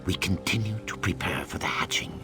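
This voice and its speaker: A solemn old-world lizard priest for a video game, low whispery voice, music playing behind. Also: male voice. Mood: grave, guarded. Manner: slow, grave, deliberate. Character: solemn reptilian keeper of sacred eggs, male, measured. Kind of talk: fantasy video game dialogue.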